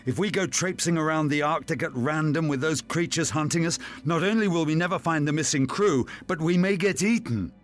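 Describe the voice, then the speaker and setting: A man's voice: fairly high pitch, fairly quick, few pauses, lightly acted. A man playing a stern, commanding expedition officer in an audio drama, grave and accusing. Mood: resolute.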